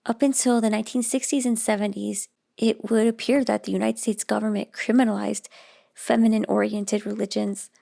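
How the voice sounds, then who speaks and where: A woman narrating a vlog in an earnest, steady way, her voice raspy and hoarse from losing it. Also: mid-range voice, normal speed. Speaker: video host.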